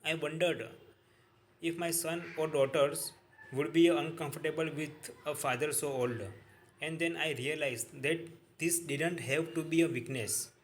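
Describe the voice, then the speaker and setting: A man reading a book aloud in a halting way, repeating each sentence and correcting his own slips. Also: a little high, steady tone, a little hiss or hum.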